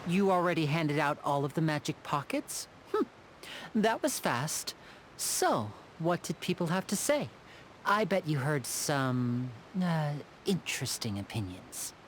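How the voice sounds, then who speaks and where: Poised, low-voiced lady magician. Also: sing-song, a little slow, slight background noise. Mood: curious, composed. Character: poised female magician, cool, cryptic, quietly caring. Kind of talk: video game voice acting.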